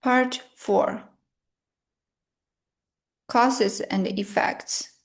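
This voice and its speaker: A woman leading a guided meditation and reciting prayers in a measured, solemn manner, in short phrases with long pauses. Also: mid-range voice, steady tone.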